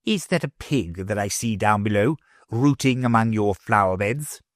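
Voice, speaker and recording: A man's voice: lowish voice, animated, normal speed, dry recording, studio quality, lightly acted. A man reading aloud, voicing a cunning middle-aged official who growls and schemes. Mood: sly. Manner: growling, sly.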